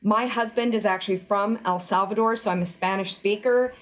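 Middle-aged woman guest, earnest and emphatic, a steady mid-pitched voice. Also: fairly quick. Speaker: interview guest, former federal employee. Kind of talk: remote news interview.

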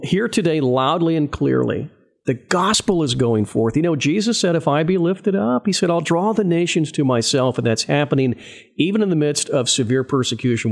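A man telling a testimony on a radio show, emphatic and preacher-like, pausing on "right?" to drive points home. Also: medium pitch, creaky. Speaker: ministry guest on a radio show. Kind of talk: animated storytelling testimony.

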